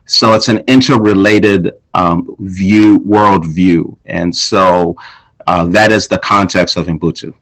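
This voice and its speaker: A man from Zambia who volunteers in a school, explaining in a calm, measured, reflective way during an informal conversation. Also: low voice, rough recording.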